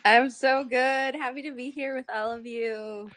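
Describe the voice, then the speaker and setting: A woman's voice: high voice, fairly even intonation, a little slow, a bit bright. A woman co-hosting a casual livestream Q&A, upbeat and chatty. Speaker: co-host.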